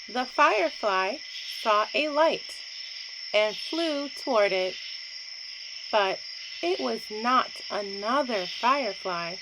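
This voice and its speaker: A woman reads a bedtime story aloud at a very slow, deliberate pace. Her pitch rises and falls in a lively way, and she leaves pauses between short phrases. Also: background noise. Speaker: children's story reader.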